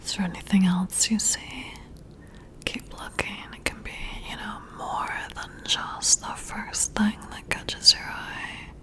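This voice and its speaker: A woman whispering up close in an ASMR roleplay as a flirty, intrigued boss, breathy and intimate with drawn-out pauses. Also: medium pitch, steady tone, bright, forceful tone. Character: flirty, intrigued female boss giving a job interview. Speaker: ASMR performer role-playing a flirty new boss. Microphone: close-up ASMR microphone.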